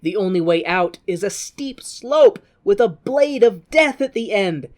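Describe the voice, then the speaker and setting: A woman's voice: medium pitch, lively intonation, measured pace, darkish tone. A woman co-hosting a podcast, recapping a film in a casual, comedic way with playful, wry asides. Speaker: podcast co-host. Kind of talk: casual comedic movie recap, partly reading from notes.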